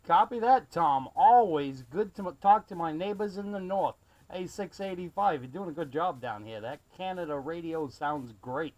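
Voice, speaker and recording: A man's voice: fairly high pitch, lively intonation, normal speed, darkish tone. A man from South Carolina chatting casually as a CB radio operator, in a friendly manner full of call numbers and radio jargon. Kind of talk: casual CB radio skip chat. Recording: muffled, band-limited CB radio recording.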